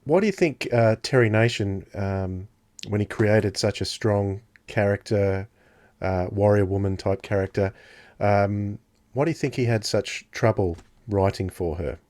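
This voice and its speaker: A man's voice: lowish voice, unhurried, a little mellow, no echo. A man hosting a podcast interview, putting his questions conversationally and a little hesitantly.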